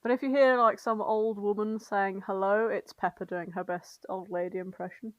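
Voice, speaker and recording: A woman's voice: medium pitch, steady tone, moderate pace, no room sound, poor audio. A woman co-hosting a podcast, retelling fan fiction in a giggly, sweary, informal comedic chat. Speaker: podcast co-host.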